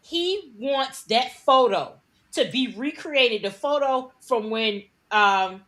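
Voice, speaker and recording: A woman's voice: fairly high pitch, lively intonation, slow pace, a bit bright. A woman from the United States co-hosting a news podcast, ranting bluntly and with plenty of profanity. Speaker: podcast co-host. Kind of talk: heated casual news commentary.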